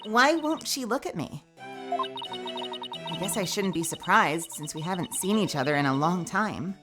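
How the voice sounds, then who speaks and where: A woman voicing a cheerful, excitable young woman lawyer in a chatty game reading. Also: medium pitch, unhurried, music in the background.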